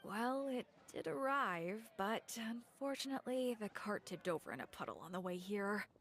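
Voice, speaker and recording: A woman playing a wry, polite shopkeeper in an English anime game dub, speaking in a measured way. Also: medium pitch, animated, fairly bright tone, soft background music. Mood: rueful.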